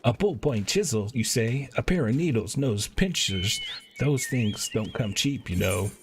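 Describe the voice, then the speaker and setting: A man voicing a brisk, money-minded goldsmith merchant from a fantasy game, businesslike in manner. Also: medium pitch, animated, a little hiss or hum. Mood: shrewd.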